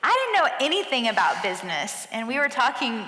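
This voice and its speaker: A woman's voice: on the high side, big pitch swings, brisk, sharp tone. A woman chatting casually and informally on a live panel. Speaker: entrepreneur panelist, dance teacher. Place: large event hall on stage. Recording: echoey hall with long reverb.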